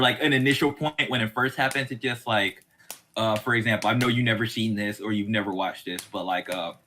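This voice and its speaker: A man speaks as the host of a relaxed discussion, at a middling pitch. He rambles informally in a conversational style. The recording has some faint noise and small clicks. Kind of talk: casual chat about a TV series.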